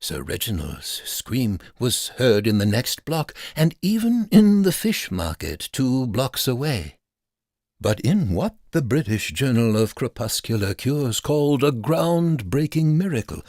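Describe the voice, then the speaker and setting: Theatrical male narrator with lively, swooping intonation. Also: mid-range voice, no room sound, studio quality. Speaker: narrator and programme host. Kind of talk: theatrical scripted comic narration, mock radio broadcast.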